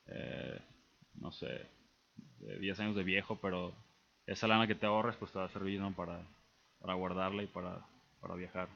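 A young man with a Mexican accent, a guest on a casual radio interview, relaxed and hesitant with many fillers. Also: deep voice, moderate pace, gravelly, long pauses, dull audio, a little hiss or hum. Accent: Mexican Spanish.

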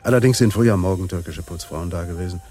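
An actor playing a sceptical, dry-witted police detective, matter-of-fact, in a radio crime play. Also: male voice, low-pitched, fast talker, darkish tone, faint music behind, close to an everyday voice. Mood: reporting.

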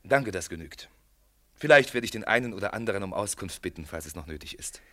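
An actor playing a shrewd, unhurried police inspector in a German radio play, probing in a courteous, matter-of-fact way. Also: male voice, sits low, animated, pushed and bright.

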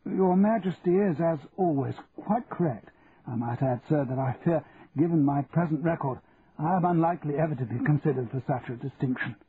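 A man voicing a genial, silly upper-class English gentleman for an audiobook, plummy and spluttering. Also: a little high, fairly quick, pitched above the performer's own voice, natural voice. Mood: deferential. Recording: muffled narrow-band audio with hiss.

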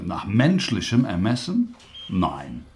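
An actor playing a dry, blunt doctor in a radio drama, speaking in terse clinical statements. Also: male voice, on the low side, wildly swooping pitch, takes it slowly, a little mellow, slight background noise, full character voice. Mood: dry, certain.

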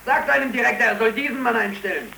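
An actor playing an idealistic, weary and defiant young unemployed blacksmith in declamatory 1930s German radio play style, on an old noisy recording. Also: male voice, extremely high pitch, lively intonation, fast, vocal fry, sharp tone, full character voice. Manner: declamatory, fervent.

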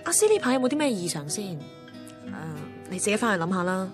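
A woman's voice: medium pitch, sing-song, fast, natural voice. An actress playing a blunt, teasing, know-it-all female friend in a radio drama, emphatic, with a Hong Kong Cantonese accent. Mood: exasperated, teasing. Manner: emphatic, mixes in English words. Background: music.

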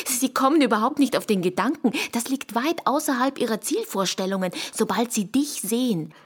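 An actress playing a cool, calculating political confidante who speaks in a controlled, persuasive way in a radio drama. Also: female voice, a little high, expressive, moderate pace, husky. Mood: cool, reassuring. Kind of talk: intimate radio drama dialogue.

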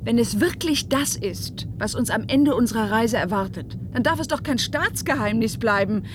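A woman's voice: on the high side, lively intonation, normal speed, lightly acted. Actress as headstrong woman over vehicle noise. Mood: earnest. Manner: earnest, defiant, emphatic. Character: headstrong, questioning woman defying a secretive leader. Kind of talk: German science-fiction radio play acting. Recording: radio play with clear vehicle noise.

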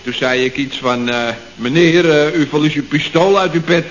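A glib employee, voiced by a man, tells anecdotes and justifies himself in a slightly high voice. The sound is dull, like an old radio broadcast with faint noise. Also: moderate pace, close to an everyday voice. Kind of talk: 1960s radio comedy acting.

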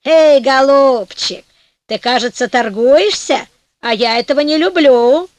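Storybook Death as a creaky, scolding old crone, loud and high-pitched, read by a woman narrator. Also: unhurried, dry recording. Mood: menacing. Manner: grumbling, scolding. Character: old grumbling female Death, creaky and bossy.

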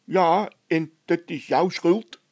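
A man's voice: on the high side, slow pace, no room sound, pitched above the performer's own voice. A man voicing a dim, stubborn workman who whines and stammers evasively in a storybook. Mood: accusing.

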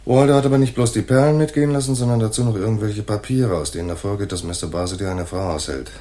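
Actor as deep-voiced hardboiled private eye. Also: male voice, fast talker, mellow, a little hiss or hum, natural voice. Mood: matter-of-fact. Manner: dry, matter-of-fact, wry. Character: laconic hardboiled male private detective narrating his case. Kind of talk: 1980s radio noir narration and dialogue.

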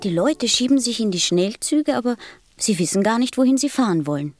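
A woman playing a small, innocent and wise boy prince in a radio play, speaking softly and earnestly. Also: fairly high pitch, animated, a little fast, soft background music, natural voice. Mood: thoughtful.

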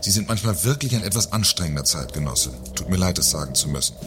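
Man acting as male boss, very low pitch, animated intonation, pompous, irritable, condescending, satirical radio drama, noisy background. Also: fast talker. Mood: irritated, lecturing. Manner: condescending, pointedly polite. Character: pompous, irritable company director of a car-parts firm.

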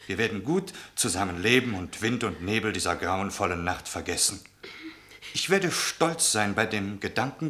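A man's voice: low voice, sharp tone, heavily acted. An actor playing a devoted, protective man in a radio play, reassuring with earnest urgency. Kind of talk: German radio play acting.

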